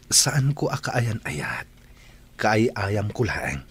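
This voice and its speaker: A man acting a teasing, evasive young rich playboy in Ilocano radio drama, with an Ilocano accent and a husky voice. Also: on the low side, very animated, drawn-out words, fairly bright tone, no room sound, heavily acted.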